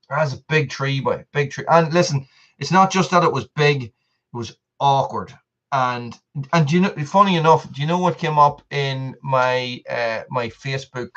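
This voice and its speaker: A man's voice: medium pitch, a little slow, a bit bright, dull audio. A man, hosting a casual live stream and chatting to viewers. He rambles, repeats himself and laughs often. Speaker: channel host on a live stream.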